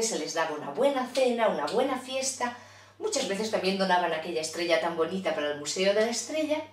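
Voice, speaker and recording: A woman tells a children's fairy tale, lively and expressive, with warm asides to her young listeners. Her voice sits in a middle pitch and sounds a little away the microphone. The room gives it a clear roomy echo. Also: far from the mic, slight background noise. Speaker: storyteller. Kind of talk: children's storytelling.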